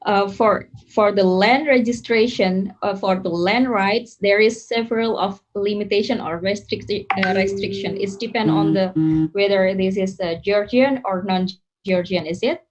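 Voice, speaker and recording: An Indonesian-accented woman moderates an online panel, pausing often and restarting her sentences. Her voice sits at a middle pitch. The video call audio is muffled and lo-fi. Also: faint music behind. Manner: hesitant, many fillers and restarts. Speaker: webinar moderator.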